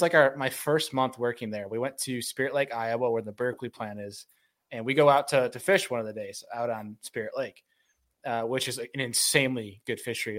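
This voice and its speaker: A man from western New York in casual chat, joking and telling stories, laughing easily. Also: mid-range voice.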